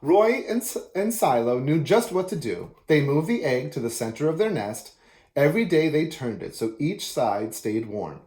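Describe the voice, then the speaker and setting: Lively, mellow-voiced man reading aloud. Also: mid-range voice. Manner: expressive storytelling. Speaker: children's book reader. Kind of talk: reading a picture book aloud. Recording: echoey room.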